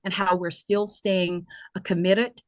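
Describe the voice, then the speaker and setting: Woman, webinar host, lower register, earnest and halting, very dry close sound. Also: flat delivery, no echo. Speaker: nonprofit leader hosting a virtual town hall. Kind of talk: semi-prepared webinar address.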